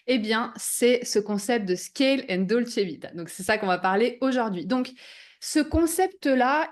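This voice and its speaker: A young woman from Switzerland pitches her coaching method over a video call. Her voice sits a little high, and her delivery is energetic, salesy and peppered with English buzzwords. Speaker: business coach guest. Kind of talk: online workshop presentation. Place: remote video call from abroad.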